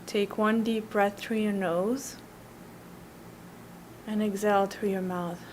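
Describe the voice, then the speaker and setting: Woman guiding slow meditation, noisy background. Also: medium pitch, fairly even intonation, a little mellow. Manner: slow, soothing, with long pauses. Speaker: Zen teacher guiding breathing meditation. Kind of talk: guided meditation.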